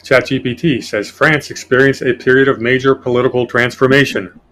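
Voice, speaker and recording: A man's voice: medium pitch, fairly even intonation, normal speed, fairly bright tone. A man hosting a casual political podcast, reading from his notes in a sardonic way. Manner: sardonic, reads notes aloud. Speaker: podcast host.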